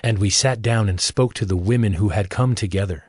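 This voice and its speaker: A man narrating scripture as a scripted audio drama, in a measured, formal manner. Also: lowish voice, darkish tone, slightly rough, no room sound, clean studio sound. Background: music.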